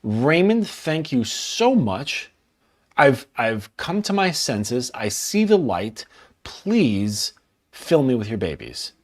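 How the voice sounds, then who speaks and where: A man's voice: mid-range voice, sing-song, slow. A man putting on the voice of a smitten young woman, gushing over the top with mock gratitude.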